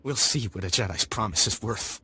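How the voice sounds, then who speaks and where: A man's voice: lowish voice, fairly quick, sharp tone, breathy edge, no room sound, full character voice. An actor playing a grieving, furious male villager who has lost his wife, with bitter accusing lines in a game cutscene.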